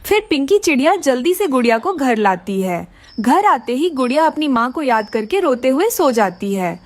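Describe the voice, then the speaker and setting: A woman narrator reads a scripted children's story. Her voice is high and very animated in pitch, recorded close and dry. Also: normal speed, no room sound.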